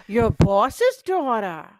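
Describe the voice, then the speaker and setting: A woman's voice: a little high, sing-song, very slow, no room sound, heavily acted. A woman playing a nagging, encouraging mother of a grown son in a vintage-style radio play.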